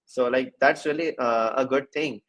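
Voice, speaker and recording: A man hosting a casual livestream interview, speaking in halting phrases with repeated fillers. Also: mid-range voice, a bit bright. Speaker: host of a developer livestream session.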